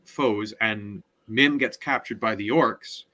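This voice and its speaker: A man recapping a story in a casual book discussion, often halting as he searches for words. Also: fairly low pitch, pushed and bright. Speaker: podcast co-host.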